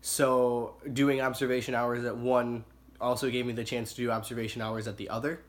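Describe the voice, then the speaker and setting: Young male student, raspy and hoarse from illness, casual and halting with lots of fillers. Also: medium pitch, flat delivery. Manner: halting, many fillers and self-corrections. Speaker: student reporting on observation hours. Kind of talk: casual spoken report.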